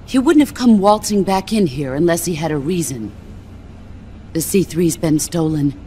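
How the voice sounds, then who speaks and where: Woman playing a low-voiced, stern soldier. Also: expressive, normal speed, mellow, slight background noise, close to an everyday voice. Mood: calm, resolute. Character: stern, composed veteran female soldier in command. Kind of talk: dramatic video game acting.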